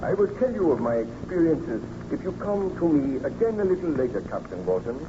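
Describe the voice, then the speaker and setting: Tormented scientist baron, fervent n theatrical, a bit high voiced, muffled noisy old radio drama. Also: male voice, very animated, brisk. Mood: grave, subdued. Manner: theatrical, fervent, formal diction. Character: anguished, guilt-ridden young scientist baron. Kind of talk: 1930s radio melodrama acting.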